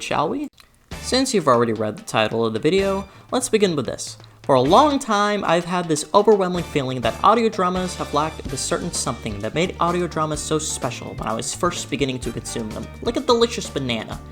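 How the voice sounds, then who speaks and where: A woman narrating a scripted video essay as host, with a theatrical, sarcastic delivery and exaggerated emphasis. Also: on the low side. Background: background music.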